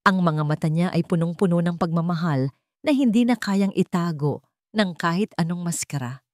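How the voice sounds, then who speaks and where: Woman reading a dramatic love story, mellow lowish voice, really dry sound, sentimental delivery. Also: moderate pace, no echo. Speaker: narrator. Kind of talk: dramatic scripted romance story narration.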